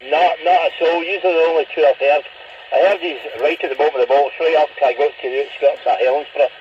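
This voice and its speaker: A man's voice: on the high side, fairly even intonation, fast talker. A Scottish man chatting casually as an operator over a CB radio link. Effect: CB radio transmission. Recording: dull, noisy CB radio link.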